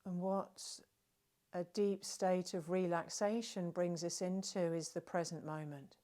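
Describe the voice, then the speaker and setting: A woman guides a relaxation session in a gentle, soothing manner. Her voice sits a little low and stays very even, moving very slowly with long pauses between short phrases. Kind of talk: guided meditation narration.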